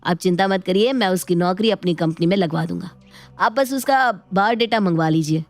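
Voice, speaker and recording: A woman voicing a scheming, flattering young nephew, boastful and eager to please, in an animated moral story. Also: lowish voice, brisk, faint music behind, pitched below the performer's own voice, close to an everyday voice. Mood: ingratiating. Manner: ingratiating, boastful.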